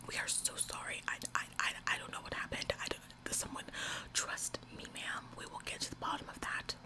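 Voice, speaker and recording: A woman's voice: fairly quick, lightly acted. A woman in a whispered ASMR roleplay, playing a bossy, officious store employee who repeats phrases for emphasis. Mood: gushing apology. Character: bossy, overzealous female retail store employee, falsely apologetic. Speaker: ASMR performer.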